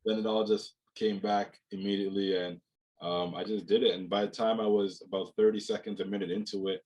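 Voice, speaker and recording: A young man with a fairly low voice talks over a video call from a room at home. He is from Jamaica and speaks thoughtfully, circling back with "you know" and restarting his words as he works through an idea. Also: flat delivery, fairly quick, darkish tone, low-quality recording. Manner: reflective, many "you know" fillers and repeated words. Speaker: guest, mental health speaker. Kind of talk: casual interview conversation.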